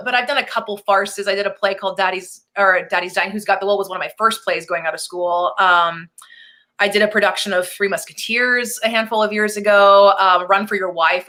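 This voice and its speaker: A woman in her thirties with a bright, ringing voice talks casually as an interview guest. She is upbeat and chatty, laughs easily and fills her pauses with ums. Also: medium pitch. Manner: chatty, upbeat, laughs often, many fillers. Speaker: actor and singer, interview guest.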